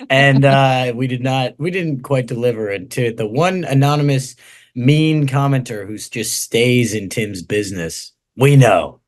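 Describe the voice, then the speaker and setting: A man's voice: mid-range voice, measured pace. A man co-hosting a comedy podcast, trading jokes and laughing a lot as he makes fun of himself. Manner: joking, self-deprecating, laughs often. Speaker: podcast co-host. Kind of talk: casual comedic banter.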